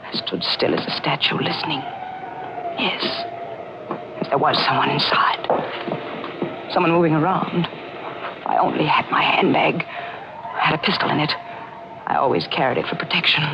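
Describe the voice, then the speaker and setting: An actress playing a tense, cold-blooded woman in an old radio suspense drama, with an intense, deliberate, melodramatic delivery. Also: low-pitched, creaky, very noisy. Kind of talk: old-time radio dramatic first-person narration. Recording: muffled old radio recording with loud music.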